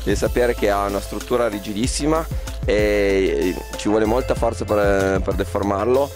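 A man reviewing skis, halting and repeating words. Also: on the low side, music in the background. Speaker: ski tester. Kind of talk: product review.

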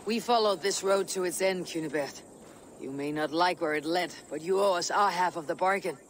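An actor playing a stern, impatient Viking warrior with a blunt manner in a video game. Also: male voice, high-pitched, moderate pace, a bit bright, a little hiss or hum. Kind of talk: dramatic video game acting.

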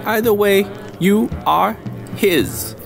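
High-pitched, sinister demonic radio villain. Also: male voice, slow, gravelly, music in the background, exaggerated voice. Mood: gloating menace. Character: sinister male demonic villain, theatrical, taunting and menacing. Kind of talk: old-time radio horror acting.

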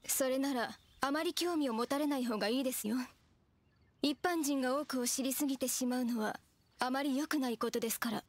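A woman playing a reserved, cryptic funeral parlor attendant in an anime game, polite, quiet and guarded. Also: high-pitched, a little fast. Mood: guarded, cautioning.

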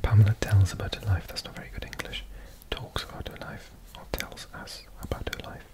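A man from Wales whispering slowly and gently as he reads from a language textbook, correcting himself as he goes. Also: fairly low pitch, flat delivery. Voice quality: soft unvoiced whisper. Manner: slow, gentle, self-correcting learner. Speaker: ASMR creator. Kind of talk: whispered ASMR language reading. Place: indoors at a table.

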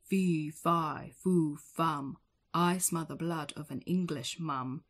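A woman's voice: slow, slightly rough, exaggerated voice. A woman voicing a huge, menacing male giant who bellows threats in a storybook reading. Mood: menacing roar. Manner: booming, shouted threats.

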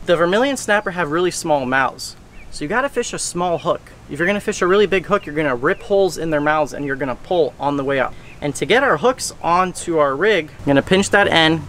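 A man's voice: on the high side, a bit bright, reverberant, slight background noise. Young man explaining step by step. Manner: instructive, step-by-step. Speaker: fishing channel host. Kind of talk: casual how-to narration. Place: home kitchen and boat deck.

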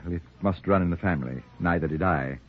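A man's voice: low voice, quick pace. A man playing a stubborn, principled cafe owner, wry and articulate, in 1940s radio drama style. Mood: earnest, wry. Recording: tinny old 1940s radio recording.

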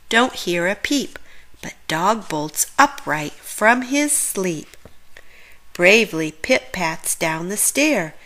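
A woman reading a children's picture book aloud, expressively, drawing out words with dramatic pauses. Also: mid-range voice, a bit bright. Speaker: children's story reader.

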